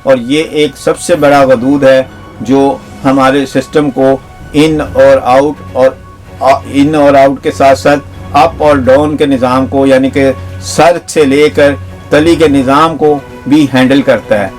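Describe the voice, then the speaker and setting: A man's voice: mid-range voice, steady tone, slow pace, rough recording. Male health advisor, earnest list-driven delivery, faint music underneath. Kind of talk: instructive health talk to camera.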